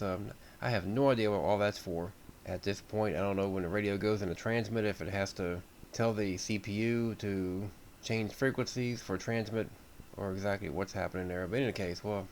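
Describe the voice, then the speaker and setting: A man's voice: on the low side, a little hiss or hum. An American man giving an informal, folksy repair walkthrough as a radio hobbyist, thinking aloud without a script.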